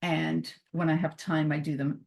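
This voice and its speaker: A woman's voice: deep voice, flat delivery, moderate pace, gravelly, darkish tone. A middle-aged woman, originally Croatian-speaking, giving an upbeat motivational talk as a mindset coach, with frequent laughs and emphatic repeated phrases. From Canada, born in Croatia.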